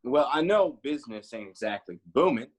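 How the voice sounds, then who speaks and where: A woman plays an easygoing bar regular in a script read. The voice is extremely low and lively, with a folksy, familiar Southern manner. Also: normal speed, husky, heavily acted. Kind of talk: dramatic screenplay table read.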